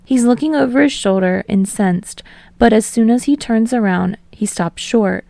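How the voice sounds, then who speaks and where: A woman's voice: on the high side, normal speed, creaky, mellow, no echo. A woman reading scripted fiction as an audiobook narrator.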